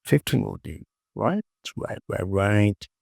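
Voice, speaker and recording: A man gives a casual software tutorial in a low, gravelly voice. He talks slowly, in short muttered phrases that he often repeats to himself. The sound is dry and close to a plain voice-over. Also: animated, no room sound. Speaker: tutorial host. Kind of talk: casual screen-recorded software tutorial narration.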